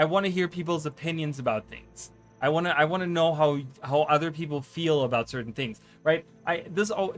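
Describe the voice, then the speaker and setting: Male streamer, German native, talks in stammering loops, light raised pitch, low music bed. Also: fairly bright tone. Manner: rambling, stammering restarts, frequent "right?". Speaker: streamer and content creator. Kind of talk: casual live-stream chat.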